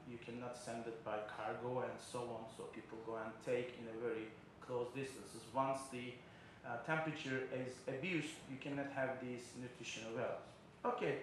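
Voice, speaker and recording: A man's voice: medium pitch, slight background noise. Man lecturing in echoey hall. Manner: explanatory, frequent uh fillers, non-native phrasing. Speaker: keynote speaker. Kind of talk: conference lecture. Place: large conference hall, indoors. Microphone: podium microphone. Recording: very echoey hall with faint ambience.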